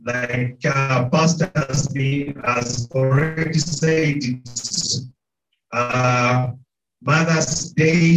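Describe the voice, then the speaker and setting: Slow, earnest preacher in echoey hall. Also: male voice, on the high side, distant mic. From Zambia. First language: Tonga. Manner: slow, earnest, repeats key phrases. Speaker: preacher, theology student. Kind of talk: sermon. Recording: echoey room.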